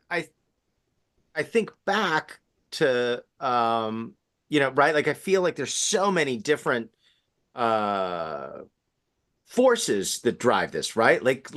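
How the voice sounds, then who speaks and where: A man with a lively, swinging intonation talks in a casual discussion. His voice is higher or lower as he goes, reflective and halting, with frequent restarts. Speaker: theatre panel participant.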